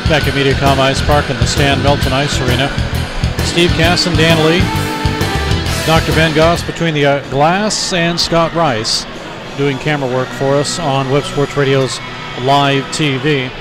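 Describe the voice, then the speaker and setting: A man calling a live college hockey game, chatting with co-hosts and rising in excitement on shots. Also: on the high side, measured pace. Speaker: play-by-play announcer. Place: ice arena broadcast booth. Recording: loud arena crowd and music.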